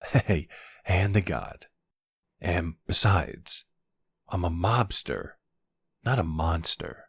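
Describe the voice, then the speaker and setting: A man as a low, husky mafia boss. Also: animated, slow, no echo, clean studio sound, heavily acted. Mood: flirtatious.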